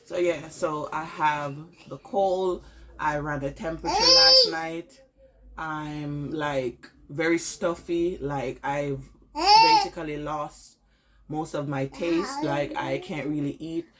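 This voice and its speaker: A young woman with a cold, congested and tired, chatting to her camera at home in a casual vlog update, halting with lots of fillers. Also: huge pitch swings, a crowd in the background. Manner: low-energy, tired, halting with many fillers. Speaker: vlogger.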